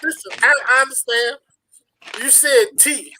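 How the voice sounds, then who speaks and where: A man's voice: very high-pitched, fairly even intonation, measured pace, noisy background. An American man from the audience asking questions in a casual, chatty way. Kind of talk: casual chat.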